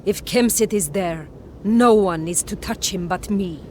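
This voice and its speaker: A woman's voice: medium pitch, measured pace, darkish tone, a little hiss or hum, close to an everyday voice. Resolute actress as a woman warrior leader. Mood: commanding. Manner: declamatory, resolute. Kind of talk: dramatic video game acting.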